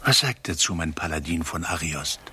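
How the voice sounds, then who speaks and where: An actor playing an aged, generous prince and field marshal in a radio drama, speaking in a stately, emphatic, rough-edged voice. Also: male voice, rumbling low, lively intonation, normal speed, pushed and bright, exaggerated voice. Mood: insistent. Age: older. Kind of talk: verse radio drama acting.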